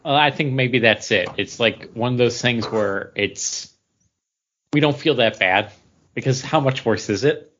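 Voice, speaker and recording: Man, podcast co-host, mid pitch, matter-of-fact, dull lo-fi sound. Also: unhurried, a bit bright. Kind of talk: casual podcast chat.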